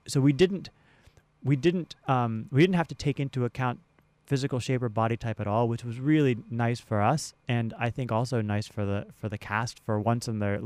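A man being interviewed as a theater director, explaining conversationally with halting repeats, close on a studio mic. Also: mid-range voice, a little mellow, clean tone, no room sound. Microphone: close studio broadcast mic.

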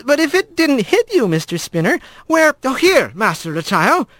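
A man's voice: very high voice, sing-song, normal speed, a bit bright, no echo, studio quality, pitched above the performer's own voice, heavily acted. A man voicing a flustered, folksy older mouse working in a kitchen.

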